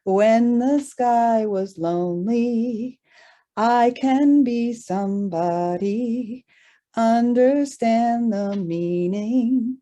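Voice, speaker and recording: Brief: woman participant, mellow, slightly high voice, soft and thoughtful, slow. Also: highs cut off, faint music behind. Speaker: workshop participant.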